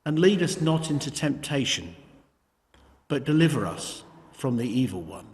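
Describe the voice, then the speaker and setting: A man reading scripture aloud in a measured, formal reading style. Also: mid-range voice, very animated, a little mellow. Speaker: scripture reader. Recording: echoey room with long reverb.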